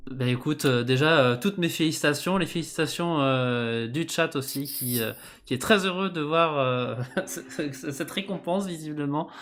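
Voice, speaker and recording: A man co-hosting a live show in casual chat, speaking haltingly and often repeating his phrases. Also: a little high, fairly bright tone.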